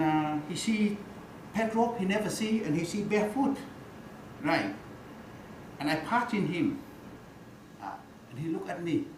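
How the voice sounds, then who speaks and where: Monk telling a story in halting English. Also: male voice, on the high side. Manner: halting non-fluent English, many "uh" fillers and restarts. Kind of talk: storytelling dharma talk. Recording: roomy echo, faint background noise.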